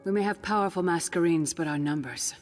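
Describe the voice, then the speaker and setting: A woman's voice: fairly low pitch, a little fast, lightly acted. An actress playing a proud, driven female commander in a fantasy game, with a formal, intense and demanding manner. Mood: troubled. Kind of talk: scripted fantasy game voice acting. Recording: game music behind the voice.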